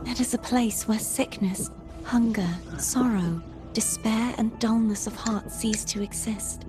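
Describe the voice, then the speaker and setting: Actress as solemn, higher-voiced young warrior woman. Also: steady tone, over music, natural voice. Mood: solemn, reverent. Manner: measured, solemn, formal storytelling. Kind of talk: scripted video game dialogue.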